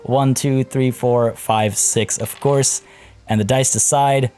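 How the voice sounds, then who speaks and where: A man casually talking through a strategy game as a let's play host. He is slightly hoarse from losing his voice and thinks aloud with fillers. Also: medium pitch, fairly even intonation, slow, a little mellow, slight background noise. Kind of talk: casual gameplay commentary. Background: faint game music.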